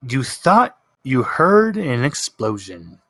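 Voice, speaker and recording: A man's voice: medium pitch, big pitch swings, slow, a bit bright, no echo, studio quality. Emphatic man running a tabletop game. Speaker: game master. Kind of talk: improvised narration of a tabletop roleplaying game.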